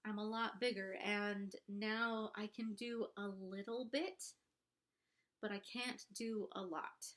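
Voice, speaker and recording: A young woman vlogger speaks slowly at a middling pitch, sounding congested. Her delivery is casual and confessional, with a candid, halting and somewhat self-conscious way of getting her thoughts out. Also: steady tone, a bit bright. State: congested, pregnant. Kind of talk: casual confessional talk to camera.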